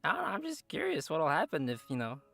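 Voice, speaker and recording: A man co-hosting a game preview show, presenting updates in a playful, animated way with banter. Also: a little high, fast talker, gravelly, faint music behind.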